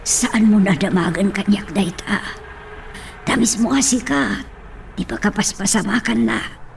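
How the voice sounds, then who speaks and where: Lady playing worried middle aged mom, resigned and cautioning, average pitch, drama recording w a little noise. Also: a little slow, creaky. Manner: cautioning, motherly. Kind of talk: audio drama acting.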